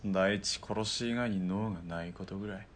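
A man playing a wry, casual ex-soldier turned hired killer in a radio drama, with rough, bantering speech. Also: low-pitched, normal speed. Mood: dry, wry.

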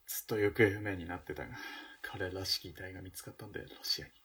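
A low male voice from an actor plays a former soldier in a radio drama. He is awkward and evasive, with hesitant, halting phrasing. The sound is somewhat distant from the microphone. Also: a bit bright. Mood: grave, subdued. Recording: distant from the mic.

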